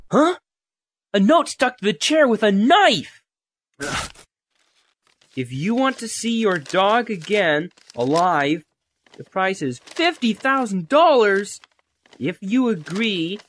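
A man playing an earnest, excitable young reporter in an audio drama. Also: sits high, slow pace, pauses often, slight background noise, exaggerated voice. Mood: alarmed.

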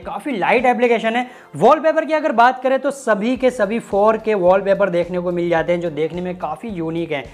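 A man, hosting a casual, chatty tech review with joking, colloquial asides. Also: high voice. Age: 20s. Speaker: YouTube tech host. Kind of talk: casual app review to camera. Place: small home studio room with desk. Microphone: lapel mic.

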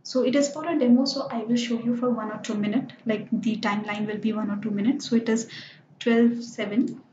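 A woman narrating a screen-recorded software tutorial, explaining each step plainly with lots of "so" and "like". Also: a little high, steady tone, a little fast, slight background noise. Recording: dull, roomy screencast voice-over.

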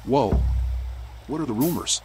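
A man voicing a weary, polite raccoon private detective in a video game, with measured, dry noir understatement. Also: medium pitch, expressive, natural voice. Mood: inquisitive, polite. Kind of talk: video game dialogue acting. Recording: music behind the voice.

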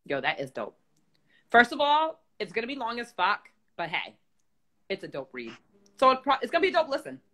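A man voicing a brash young woman puppet host with a New York accent, loud and slangy while reading the news. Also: extremely high pitch, wildly swooping pitch, a little fast, heavily acted. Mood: sassy. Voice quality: loud, profane, slangy, bubbly. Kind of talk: comedic puppet news chat.

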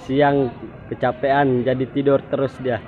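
A man's voice: mid-range voice, flat delivery, unhurried, over music. A man commenting casually alongside a vlogger, talking loosely and informally to the camera. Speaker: companion in a vlog. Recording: tinny, thin sound with faint outdoor noise.

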